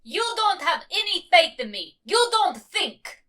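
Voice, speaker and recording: A high, bright young female zebra played by a woman, earnest and stubborn about her dreams. She speaks slowly and deliberately, in the manner of a cartoon heroine. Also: big pitch swings, heavily acted. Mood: hurt, defiant. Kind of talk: scripted cartoon fan voice acting.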